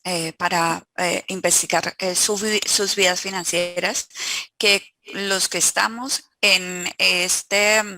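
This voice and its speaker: Woman, academic presenter, hesitant with fillers, bright voice, video call audio. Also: medium pitch. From Colombia. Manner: hesitant, many filler sounds. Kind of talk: conference talk over video call.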